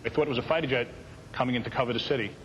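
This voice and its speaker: A man's voice: mid-range voice, racing, muffled recording. A man recounting events as an air traffic controller in an interview. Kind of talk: first-hand recollection in interview. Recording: long reverb, faint background noise.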